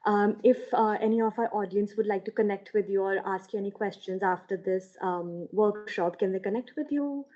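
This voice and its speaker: A woman's voice: mid-range voice, normal speed, hardly any pauses, warm tone. A woman moderating an online workshop over a video call, introducing speakers with hesitant fillers. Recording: dull lo-fi video call audio.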